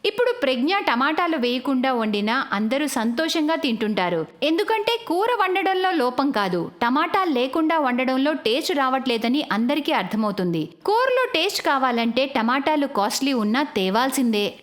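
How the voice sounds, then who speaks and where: A woman narrating a cartoon moral story in a brisk, explanatory storytelling manner. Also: high voice, very animated.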